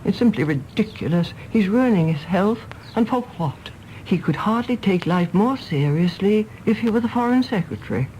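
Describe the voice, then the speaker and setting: A woman acting a brisk old English lady who runs her household, outspoken and fond but exasperated. Her genteel speech is emphatic and swoops widely in pitch. It is a radio drama with noise behind the voice. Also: animated, mellow, highs cut off, lightly acted. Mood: exasperated concern. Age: older.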